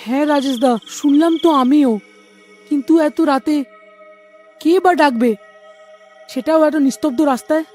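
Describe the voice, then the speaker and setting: A woman voicing a nervous young village man in a cartoon, talking fast and pleading. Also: high-pitched, a little mellow, soft background music, natural voice.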